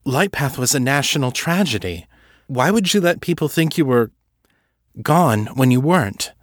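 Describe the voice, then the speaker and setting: Earnest young woman for an audiobook, read by a male narrator: lifted pitch, probing delivery, dry sound. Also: animated, moderate pace, no echo, close to an everyday voice. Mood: puzzled.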